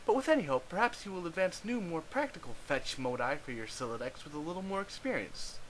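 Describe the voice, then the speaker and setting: A man's voice: on the high side, sing-song, fast talker, a little hiss or hum, low-quality recording. A man narrating a scripted story, reading it aloud.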